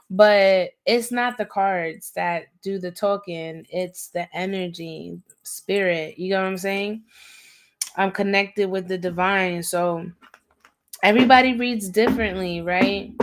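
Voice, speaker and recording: A woman with a raspy, slightly hoarse voice, talking casually and in slang as she gives an intuitive reading on a livestream, often checking in with her listeners. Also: mid-range voice. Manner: slangy, conversational, often seeking agreement with "right?" and "okay?". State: hoarse, going easy on throat. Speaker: livestream tarot reader, host.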